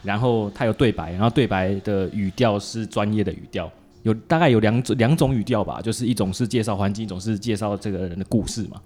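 A man's voice: on the low side, quick pace, a little mellow, faint music behind. A man with a Taiwanese Mandarin accent hosting a casual chat, joking and colloquial, with lots of little interjections. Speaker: podcast host interviewing a guest.

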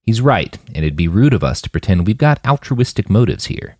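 A man's voice: lowish voice, very animated, brisk, darkish tone, no echo, studio quality. A man voicing a laid-back, blunt post-human woman in an audiobook, talking casually and swearing freely. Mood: frank.

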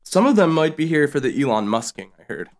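A man's voice: a little high, big pitch swings, a little fast. A man from Texas co-hosting a podcast, goofy and self-mocking, laughing often. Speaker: podcast co-host. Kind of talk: casual comedic banter.

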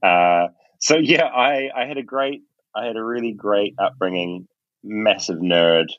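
A very low-voiced man with a New Zealand accent talks warmly about his past in a casual interview. He is upbeat and pokes fun at himself. The audio is dull and lo-fi with a dead-dry sound. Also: measured pace, no room sound. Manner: enthusiastic, self-deprecating. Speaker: guest, film composer.

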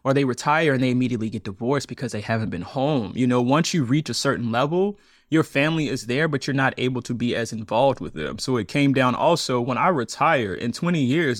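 A man in his thirties talks through his story as a podcast guest, animated and quick to laugh. His voice sits at a middle pitch. The sound is dry and close, with no room around it. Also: no echo. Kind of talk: conversational interview.

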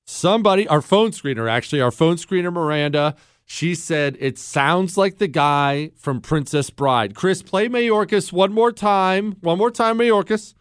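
A man from Ohio hosts a radio talk show with a fairly high voice that sounds dry and close in the studio. He is opinionated and sarcastic, with mocking jokes throughout. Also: unhurried, clean tone, no room sound. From Ohio, USA.

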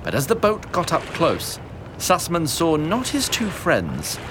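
A man's voice: on the high side, wildly swooping pitch, unhurried, background noise. A man narrating a fantasy audio drama in a theatrical, formal storybook style. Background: music and sound effects.

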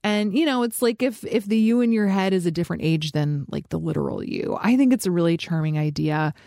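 A woman in her thirties hosting a casual chat, warm and playful, dropping in quick reactions. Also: on the low side, vocal fry, no room sound, studio quality. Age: 30s. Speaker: host.

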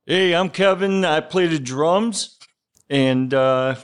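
A man's voice: a little high, slow, clean tone. A man with a Chicago accent, a drummer guest speaking in short, plain sentences. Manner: plainspoken, brief.

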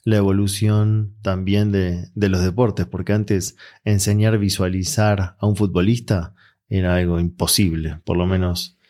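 Deep, mellow Argentine man. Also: a little slow. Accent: Argentine Spanish. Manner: relaxed, reflective, with frequent fillers. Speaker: guest, mental coach. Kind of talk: interview answers.

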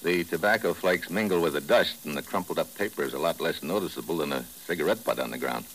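An actor playing a tough, terse, wry American special agent in a 1950s radio drama, over an old hissy recording. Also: male voice, a bass voice, fast talker, a bit bright, rough recording. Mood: terse narration. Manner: terse, hard-boiled, wry. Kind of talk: 1950s radio drama acting with first-person narration.

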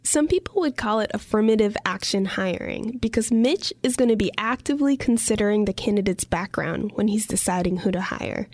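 A woman co-hosting a podcast, reading scripted narration in an even, conversational way. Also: medium pitch, brisk, hardly any pauses, no room sound. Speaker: podcast co-host.

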